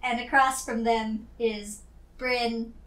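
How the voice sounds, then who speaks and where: A woman with a clearly high voice plays a tabletop game in casual, half in-character chat. The room echoes and she sits back from the mic. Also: fairly even intonation, takes it slowly, fairly bright tone, far from the mic.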